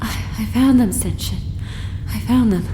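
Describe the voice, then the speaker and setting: Audio drama, actress playing rattled woman, urgent and halting, higher-pitched, reverberant with noisy rumble. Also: lightly acted. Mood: shaken, urgent. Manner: halting, urgent, repeating herself. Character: shaken, urgent woman reporting a frightening psychic discovery. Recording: roomy echo with rumbling noise.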